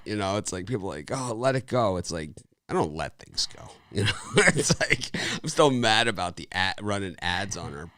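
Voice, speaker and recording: Low-voiced man hosting a betting show. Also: pushed and bright. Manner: rambling, with restarts and laughs. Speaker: sports betting show host. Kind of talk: casual live-stream chat.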